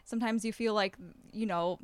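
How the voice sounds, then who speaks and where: A woman's voice: mid-range voice, fairly even intonation, brisk, clean tone, dry recording. A woman guesting on a theater chat, friendly and conversational. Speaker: guest, theater company member. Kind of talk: casual chat.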